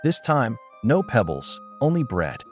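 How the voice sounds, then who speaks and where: A man voicing a cold, stubborn mother in a children's fairy tale, brisk and decisive. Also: medium pitch, huge pitch swings. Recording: music under the voice.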